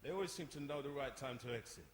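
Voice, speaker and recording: An actor playing an affectionate, outgoing uncle in a live family play. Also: male voice, mid-range voice, quick pace, background noise, natural voice. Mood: warm, reflective. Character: exuberant uncle greeting his whole family affectionately. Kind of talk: stage play acting. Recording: roomy live performance, music behind.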